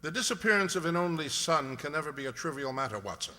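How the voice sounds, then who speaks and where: A man playing a composed, analytical gentleman detective, measured and polite, in an amateur radio drama. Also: a little high, expressive. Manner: measured, polite, dryly authoritative. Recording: long hall-like reverb.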